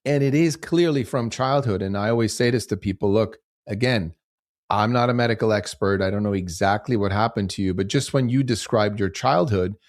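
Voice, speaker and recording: A man, speaking in a fairly low voice. He talks reflectively in a casual podcast chat and often poses rhetorical questions to himself. The sound is close and dry. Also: brisk, no room sound. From Switzerland. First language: German. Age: 50s. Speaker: podcast guest, movement founder. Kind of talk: casual interview conversation.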